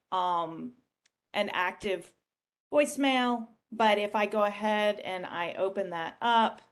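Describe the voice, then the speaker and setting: A woman giving a casual software demonstration in a conversational, explanatory way. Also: medium pitch. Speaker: software demo presenter. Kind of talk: casual screen-share product demonstration.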